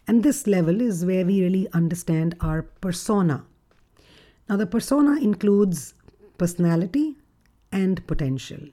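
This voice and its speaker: A woman with a deep, gruff voice hosting a reflective solo podcast as a relationship coach, speaking in a measured, thoughtful way. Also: animated, dark tone. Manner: measured, thoughtful delivery with occasional fillers.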